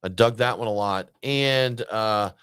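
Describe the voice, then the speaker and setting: A man's voice: fairly low pitch, unhurried, fairly bright tone, clear, resonant tone, no echo. A man, co-hosting a beer show and bantering casually in a studio. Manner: joking, laid-back banter. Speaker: podcast co-host. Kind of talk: casual chat.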